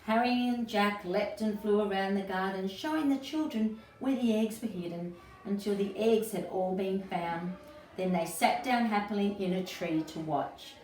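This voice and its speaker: A woman's voice: medium pitch, normal speed, a little mellow. A woman reading a children's storybook aloud expressively, as an educator talking to young listeners and putting questions to them. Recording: roomy echo, distant mic, faint noise.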